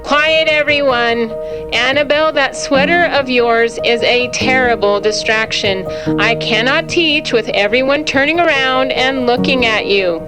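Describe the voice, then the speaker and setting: A woman's voice: sits high, measured pace, poor audio. A woman reading the part of a stern, exasperated male schoolteacher in a children's storybook, scolding and emphatic. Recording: loud music behind the voice.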